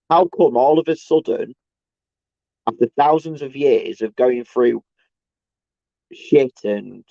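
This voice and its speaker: Man, croaky sore throat, tired, fry-heavy, halting rambling vlog talk, exasperated swearing, dry muffled recording. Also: medium pitch, dark tone, no echo. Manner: halting, repetitive, searching for words. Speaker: solo video host. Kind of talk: casual rambling monologue to camera. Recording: dull, lo-fi sound.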